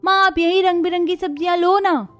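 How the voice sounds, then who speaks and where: Woman voicing an eager high-pitched little girl. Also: fairly even intonation, moderate pace, a bit bright, no room sound, soft background music. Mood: delighted. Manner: eager, childlike. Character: excited, wide-eyed little daughter at market. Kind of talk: cartoon story dialogue.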